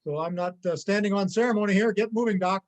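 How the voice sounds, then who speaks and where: A vengeful robber played by a man in an amateur play reading. His voice sits high for a man, and he talks in a halting, sneering, threatening way. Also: lightly acted. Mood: menacing. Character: menacing, sarcastic male gangster robber, jumpy and vengeful. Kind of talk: amateur reader's theater acting.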